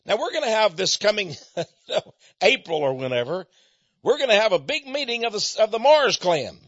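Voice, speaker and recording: A man's voice: sits high, big pitch swings, normal speed, fairly bright tone, no room sound, muffled recording. An American man giving a rambling personal monologue to his listeners, folksy and digressive, with frequent chuckles. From United States. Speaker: speaker addressing listeners.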